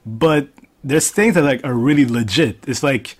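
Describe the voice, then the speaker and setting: A man's voice: medium pitch, moderate pace. A man in his twenties whose first language is French, hosting a casual podcast chat and rambling while he searches for words. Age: 20s. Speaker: podcast host.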